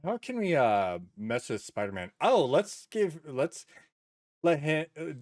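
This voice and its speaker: A man hosting a podcast in casual conversation, animated and given to acting out imagined quotes. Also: on the high side, a little slow, no room sound, studio quality. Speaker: podcast host.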